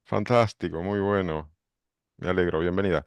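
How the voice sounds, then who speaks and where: A man hosting a book club video call, briskly greeting each member. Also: deep voice, fairly even intonation, no room sound. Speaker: book club director and host. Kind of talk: calling roll on a video call.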